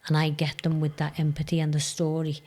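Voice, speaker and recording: Low, mellow-voiced woman in her fifties. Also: completely flat delivery, brisk. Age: 50s. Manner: earnest, storytelling, emphatic about goals. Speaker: youth mentor guest. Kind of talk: heartfelt podcast conversation.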